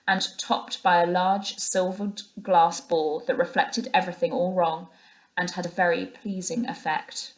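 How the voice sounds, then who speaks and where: A woman's voice: lowish voice, rough recording. A woman reading a storybook aloud as a teacher would to her pupils, narrating the scenes.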